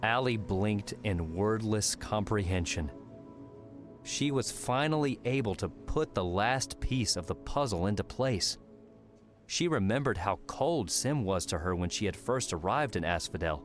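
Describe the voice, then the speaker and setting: Deep voiced guy narrating a novel, faint music underneath. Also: animated, unhurried. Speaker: audiobook narrator. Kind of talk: scripted fiction narration.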